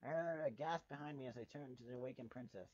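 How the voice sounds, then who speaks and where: A man's voice: medium pitch, fast, darkish tone, no room sound, muffled recording. A man reading a first-person story aloud with dramatic, sardonic and exasperated delivery. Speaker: fan fiction reader.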